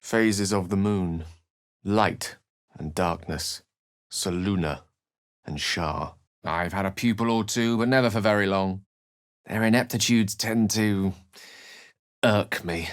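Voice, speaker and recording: An actor playing an erudite, courteous wizard in a fantasy video game, speaking in an eloquent, formal and dramatic way, with wry and melancholy shades. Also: male voice, fairly low pitch, takes it slowly, pauses often, clear, resonant tone, no echo, clean studio sound. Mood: musing. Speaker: video game companion character. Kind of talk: theatrical fantasy game dialogue and narration.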